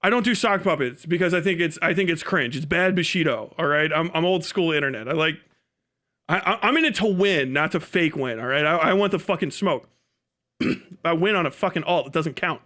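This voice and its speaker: A man's voice: a little high, bright, forceful tone. A man doing casual, profane live stream commentary, mocking and emphatic, repeating himself for effect. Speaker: live streamer reacting to a video.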